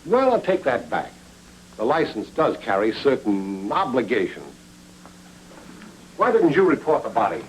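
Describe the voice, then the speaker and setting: A stern police lieutenant in a forties noir film. His delivery is clipped and sardonic with big swings in pitch, on an old soundtrack with faint noise. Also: wildly swooping pitch, a little slow, long pauses, low-quality recording. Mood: stern, needling. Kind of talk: 1940s film noir acting.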